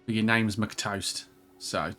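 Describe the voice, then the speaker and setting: A man's voice: fairly low pitch, steady tone, takes it slowly, pushed and bright. A man chatting casually in a rambling, jokey, sweary way as he plays a co-op video game. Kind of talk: casual co-op let's play chat.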